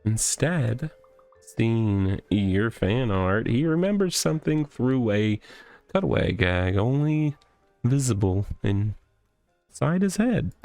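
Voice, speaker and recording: A man voicing a deadpan, mock-solemn storyteller who narrates absurd inner monologue in a video game. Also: mid-range voice, takes it slowly, dry recording, faint music behind.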